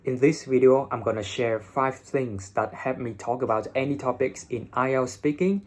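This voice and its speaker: A young man from Vietnam with a Vietnamese accent and a slightly husky voice, giving blunt, informal English-learning advice and swearing freely, recorded on a phone. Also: mid-range voice, fairly quick. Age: young adult. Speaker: English teacher and video host. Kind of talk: casual advice talk to camera. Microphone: phone, no separate microphone.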